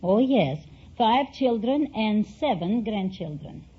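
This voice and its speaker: A woman's voice: medium pitch, expressive, takes it slowly. An older woman from Greece with a Greek accent, telling stories as a contestant on a vintage radio quiz show. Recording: dull, faintly noisy vintage radio recording.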